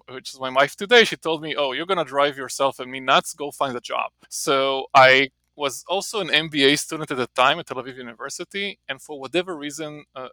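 A man's voice: on the high side, gravelly, sharp tone, no echo, low-quality recording. A man in his forties with an Israeli accent, a professor talking casually from his home office, rambling with many restarts. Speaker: marketing professor, guest. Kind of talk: casual interview talk.

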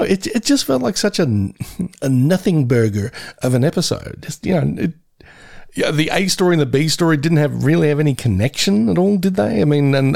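A slightly hoarse Australian man co-hosting a podcast in an easygoing, measured way. His voice is gravelly with a lot of fry, yet moves a lot in pitch, recorded dry and close. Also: darkish tone, no echo. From Geelong, Australia. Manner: easygoing, laughs often, measured. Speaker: podcast co-host. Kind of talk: casual chat.

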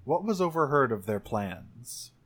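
A man with a mid-pitched voice talks casually through an improvised tabletop role-playing game. He is playful and joking, thinking aloud as he builds the story with others. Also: big pitch swings, unhurried, a bit bright. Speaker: tabletop game player and podcaster.

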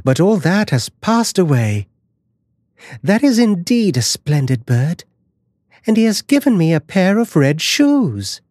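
A man's voice: on the high side, sing-song, moderate pace, no room sound, clean studio sound, voice pushed up. A man doing a sweet little girl. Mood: gentle. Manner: soft, childlike voice.

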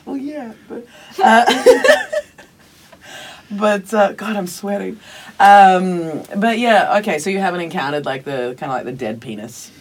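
A woman's voice: mid-range voice, animated, a little slow, a little hiss or hum. A woman co-hosting a live podcast in casual chat, giggly and rambling with many fillers. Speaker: podcast co-host. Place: live podcast recording before an audience.

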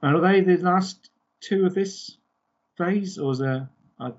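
A man hosting a casual fan podcast in a hesitant, halting way, on a dull lo-fi recording. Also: sits high. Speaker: podcast host. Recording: dull lo-fi audio.

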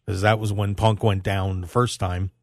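A man's voice: low-pitched, flat delivery, normal speed, no echo, studio quality. A man hosting a radio show, talking casually about sports news in a rambling way and repeating phrases for emphasis.

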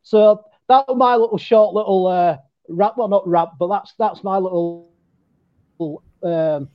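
A man's voice: high-pitched, measured pace, dry recording, muffled recording. A man with a Leeds accent speaking casually and from the heart on a talk show, using local turns of phrase. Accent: Northern English, Leeds. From Leeds, England. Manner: chatty, heartfelt, says "what" for "that". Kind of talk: casual podcast chat.

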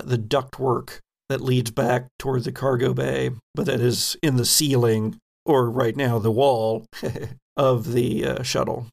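A man improvising narration as a tabletop game master, halting as he searches for words. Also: medium pitch, vocal fry.

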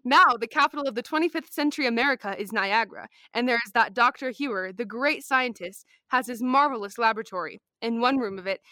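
A woman's voice: fairly high pitch, pushed and bright, no echo. A woman playing an enthusiastic old-time radio announcer, narrating in an inviting, theatrical style.

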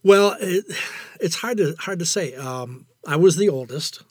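Older man, halting and reflective, dry recording. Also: on the high side, lively intonation, a little mellow, husky, no echo. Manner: halting, repeats phrases for emphasis. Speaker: interview guest, amateur writer. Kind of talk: reflective conversational interview.

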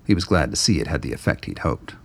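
A man's voice: a bass voice, big pitch swings, fast talker. A man narrating a scripted radio drama in storytelling prose.

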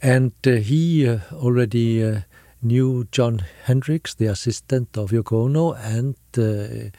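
An older man with an Icelandic accent talks as an interview guest. His voice is soft-edged and dark, recorded very dry, and he proceeds haltingly with many hesitations. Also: medium pitch, no room sound. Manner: halting, deliberate, frequent hesitations. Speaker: interview guest, art curator. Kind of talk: conversational recollection.

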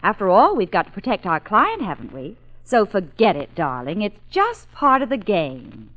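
An actress playing a sharp-tongued, teasing young sidekick in an old-time radio comedy-drama, full of sarcasm. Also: female voice, medium pitch, wildly swooping pitch, normal speed. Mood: mocking. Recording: dull vintage radio recording.

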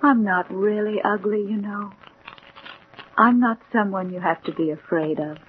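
An actress in a 1940s radio drama playing a calm, alluring young woman who is Death, soothing and gently insistent. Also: medium pitch, a little slow, lightly acted. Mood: gentle, calm. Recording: dull, noisy 1940s radio recording.

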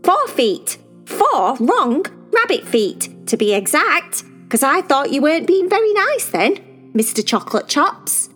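A woman voicing an excitable, chatty young girl who gushes and fires off question after question. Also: high-pitched, soft background music, pitched above the performer's own voice, heavily acted. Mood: wary. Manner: gushing, rapid-fire questions, squealing.

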